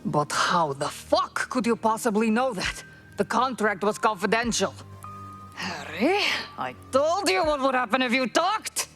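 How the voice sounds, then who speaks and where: An actress playing a cold, commanding, vengeful woman who leads a criminal organization in a video game, speaking deliberately. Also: fairly high pitch, big pitch swings, a bit bright, faint music behind. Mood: angry, threatening. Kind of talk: scripted video game cutscene acting.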